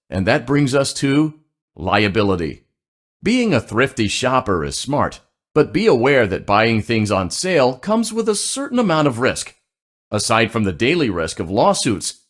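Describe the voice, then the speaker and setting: A slick, upbeat announcer for a corporate training tape in a video game. The pitch is mid-range and swings in a lively, animated way. The delivery is a peppy sales pitch, with glib asides slipped in deadpan. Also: male voice. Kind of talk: scripted instructional narration, sales pitch.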